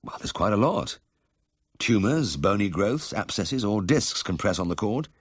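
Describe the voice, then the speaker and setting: A man's voice: on the low side, sing-song, a little slow, husky, dry recording, studio quality, voice pushed up, heavily acted. A man voicing a kindly, careful country vet who explains things politely in a story reading. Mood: careful, explanatory. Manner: courteous, explanatory.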